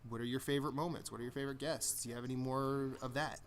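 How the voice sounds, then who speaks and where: A man's voice: medium pitch, steady tone, very fast. A man co-hosting a casual gaming podcast, rambling through his points with frequent restarts and laughter. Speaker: podcast co-host. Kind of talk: casual chat.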